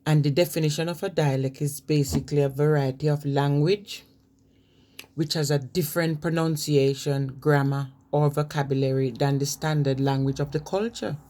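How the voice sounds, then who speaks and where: A woman from Jamaica, giving an informal talk on language to camera and reading from her notes with pauses and restarts. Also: low-pitched, fairly even intonation, dark tone. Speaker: vlogger.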